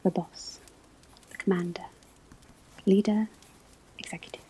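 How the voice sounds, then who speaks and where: Slow whispering witch-school professor played by a woman. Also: medium pitch, fairly even intonation, close to an everyday voice. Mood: calm, instructive. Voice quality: soft, breathy whisper. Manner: slow, deliberate, measured, instructive. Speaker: ASMR roleplay performer. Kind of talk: whispered ASMR classroom roleplay lecture. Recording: clear background ambience noise. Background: classroom ambience, crackling fireplace, pages turning.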